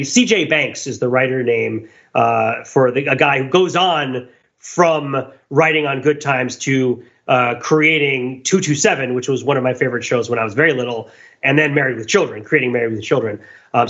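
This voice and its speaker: A man's voice: mid-range voice, a bit bright, lo-fi sound. A man talking through ideas on a podcast in a casual, analytical way, digressive and emphatic. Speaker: podcast host.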